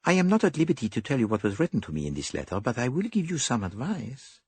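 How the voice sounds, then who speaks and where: A man's voice: mid-range voice, very animated, fast, darkish tone, husky, dry recording, pitched above the performer's own voice. A man voicing a fussy, silky detective with a put-on French accent. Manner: precise, silky, probing.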